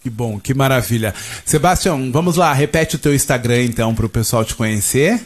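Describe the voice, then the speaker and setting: A man's voice: mid-range voice, a little fast. A Brazilian man hosting a radio interview, chatty and rambling, speaking from the studio. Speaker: radio host.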